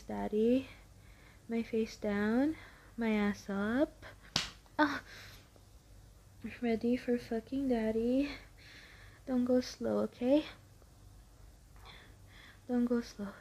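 A young woman performing an intimate audio roleplay, her voice breathy and often dropping to a whisper, soft, teasing and pleading. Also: fairly high pitch.

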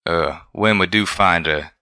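A man's voice: low voice, fairly quick, sharp tone, dry recording, studio quality. A man voicing a blunt, bashful male pegasus pony who talks in a folksy country drawl, for a storybook reading. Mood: puzzled.

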